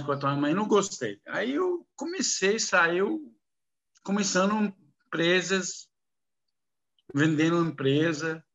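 A man speaking slow, halting, broken Portuguese as a non-native speaker, answering interview questions with many restarts. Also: on the high side, fairly bright tone. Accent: foreign, non-native Portuguese. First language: not Portuguese. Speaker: interviewee, businessman.

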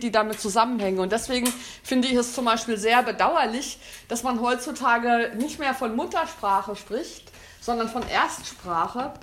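A woman giving a thoughtful spoken talk, often pausing with fillers and restarting her sentences. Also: on the high side, a bit bright. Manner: hesitant, many fillers and restarts. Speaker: speaker on language and society.

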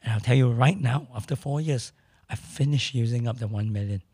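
A middle-aged man with a hoarse voice, speaking candidly as a podcast guest in casual conversation. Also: mid-range voice, a little fast, mellow, dry recording, clean studio sound. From Malaysia. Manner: candid, matter-of-fact, occasional restarts.

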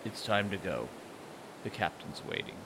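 Man as a low, calm, stern first mate. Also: noisy background. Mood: calm, firm. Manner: terse, measured. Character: balding sinewy first mate, calm, stern, loyal sailor.

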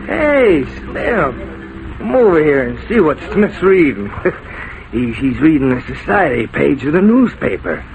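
An actor playing a taunting drunken sailor in a 1940s radio drama, with a slurred, mocking drawl and gravelly voice. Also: male voice, fairly high pitch, sing-song, slow pace, heavily acted. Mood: mocking amusement. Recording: noisy 1940s radio recording.

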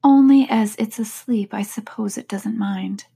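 A woman voicing a proper, indignant young girl with a prim, sharp way of speaking. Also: medium pitch, lively intonation, measured pace, husky.

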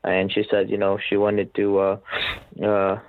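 A man in his twenties from the Philippines, a guest telling his story in a relaxed, rambling way with many fillers. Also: fairly low pitch, unhurried. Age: 20s. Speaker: podcast guest, reseller. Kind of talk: casual interview talk. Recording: tinny phone-like line, faint noise.

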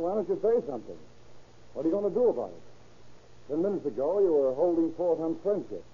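An actor playing a desperate, sardonic young bank clerk in a 1930s-style radio drama, with an excitable manner. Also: male voice, a little high, husky. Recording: tinny, hissy old radio recording.

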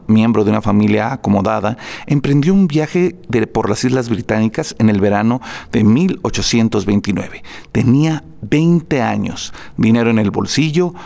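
A man's voice: on the low side, vocal fry, darkish tone. A man presenting spoken program notes before a classical concert, reading prepared text with fillers and restarts.

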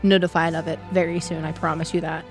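A woman with a raspy voice co-hosting a podcast in casual chat, upbeat and full of fillers. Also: low-pitched, flat delivery, fast talker, faint music behind. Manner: chatty, filler-heavy, upbeat. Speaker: podcast co-host.

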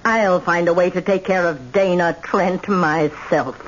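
Actress doing hard old grandma in a radio play, bossy n bitter, a bit low pitched, muffled hissy old recording. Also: female voice, unhurried, close to an everyday voice. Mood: bitter, scornful. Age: older. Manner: imperious, emphatic. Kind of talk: old-time radio drama acting.

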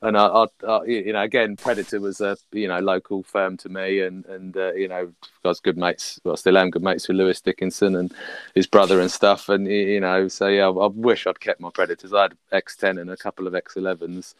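A man, a podcast guest, chatting casually and rambling with many fillers and restarts, his voice husky from a recent illness. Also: low-pitched, flat delivery, brisk. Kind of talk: casual chat.

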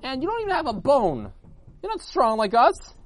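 Loud, boastful talking body part for a children's tale, performed by a man: high, scornful, swooping, spoiling for an argument. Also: wildly swooping pitch, moderate pace, no room sound, exaggerated voice. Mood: mocking. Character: boastful indignant talking body parts, legs and hands, loud.

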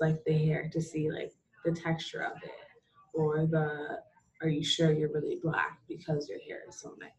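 A young woman on a discussion panel, speaking slowly and haltingly. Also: low-pitched, a little mellow, rough recording. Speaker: panel guest.

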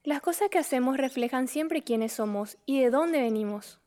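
High-voiced gentle moon girl played by a woman. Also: expressive, moderate pace. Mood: gentle, assured. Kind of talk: audio drama acting.

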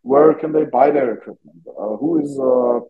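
A man's voice: on the high side, moderate pace, vocal fry, phone-quality sound. A man from Germany with a German accent, a non-native English speaker, giving informal interview answers as a youth hockey coach and searching for words as he goes. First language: not English.

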